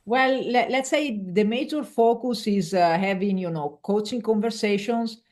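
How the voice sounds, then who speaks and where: An Italian woman who speaks English as a second language, with a clear Italian accent and a voice of ordinary pitch for a woman. She talks with animated energy as a coaching guest, and she keeps restarting her words as she reaches for the right English phrase. Her speech is conversational, in the loose manner of a casual interview. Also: moderate pace. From Veneto, Italy.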